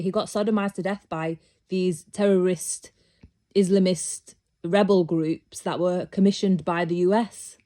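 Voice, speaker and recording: A woman's voice: mid-range voice, dark tone. A British woman talking casually to camera in a rambling opinion monologue, with many restarts, growing emphatic and insistent as she argues. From Britain. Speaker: vlogger.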